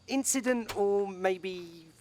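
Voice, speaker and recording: A sheepish young lad in a radio soap. His voice is notably high for a man and evasive in manner, with faint noise behind it. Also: slow pace, darkish tone. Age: young adult.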